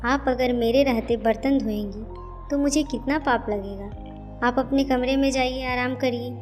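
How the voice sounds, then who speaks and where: Woman as caring young daughter-in-law, higher voice. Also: moderate pace, a little mellow, soft background music, lightly acted. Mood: gentle, caring. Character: kind, dutiful young village daughter-in-law. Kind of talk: Hindi family cartoon dialogue.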